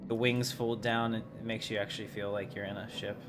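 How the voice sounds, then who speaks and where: Low-voiced man streaming a game, noisy background. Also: a little fast. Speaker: game livestreamer. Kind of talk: casual gameplay commentary chatting with viewers. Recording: steady noise behind the voice.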